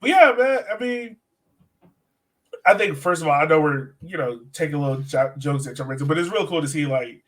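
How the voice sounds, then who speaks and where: A man's voice: on the high side, lively intonation, brisk. A man co-hosting a soccer podcast, chatting informally and slangily as he breaks down the game. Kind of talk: casual sports chat and game analysis.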